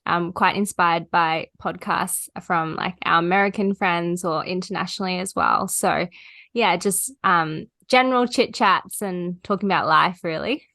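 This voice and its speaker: A woman's voice: lowish voice, a little slow, gravelly, pushed and bright, no room sound, studio quality. A woman with an Australian accent, a podcast guest talking easily and rambling a little with fillers. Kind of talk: casual chat.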